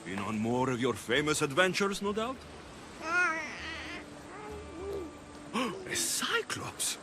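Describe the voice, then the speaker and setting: Slow-spoken, animated warrior father. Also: a little high, exaggerated voice. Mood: playful, doting. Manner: playful, sing-song when talking to his baby. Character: battle-hardened Greek mercenary warrior, a doting, playful young father. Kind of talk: dramatic video game acting.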